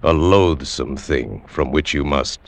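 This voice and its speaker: A man announcing a 1940s radio drama in an ominous, deliberate, theatrical style. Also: extremely low pitch, gravelly, heavy background noise. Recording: vintage 1940s radio recording.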